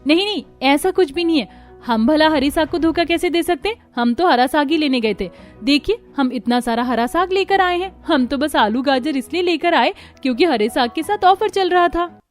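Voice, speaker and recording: A woman's voice: high-pitched, animated, normal speed, faint music behind, voice pushed up. A woman voicing an exasperated, sly young daughter-in-law in a cartoon story, complaining dramatically. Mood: pleading. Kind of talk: Hindi cartoon story acting.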